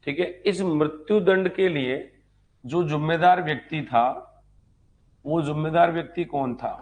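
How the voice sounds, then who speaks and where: A male teacher with a moderately high-pitched voice delivers an exam-prep lecture. He explains point by point, often repeats a phrase as though dictating notes. He poses short questions and then answers them himself. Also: measured pace. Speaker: history teacher.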